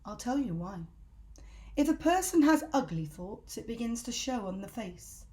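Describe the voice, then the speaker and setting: Expressive woman reading a children's story. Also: on the high side, moderate pace. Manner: dramatic, emphatic delivery.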